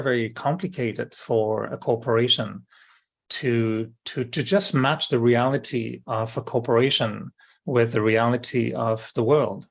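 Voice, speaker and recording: A middle-aged man with a French accent, from Brittany, talks as an interview guest. His voice has a gravelly edge at an ordinary male pitch. He thinks aloud, pausing, restarting and searching for the right word. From France (Brittany). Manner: thoughtful, searching for words, many fillers and restarts. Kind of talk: reflective conversation.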